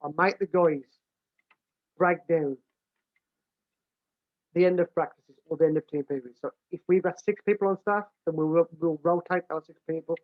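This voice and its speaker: A man's voice: fairly high pitch, fairly even intonation, moderate pace, pauses often, no room sound. British man with a big voice over a tinny line. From United Kingdom. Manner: restarts and repeats words often, plain-spoken. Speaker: American football coach. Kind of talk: casual explanatory talk. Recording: dry, tinny phone-like line.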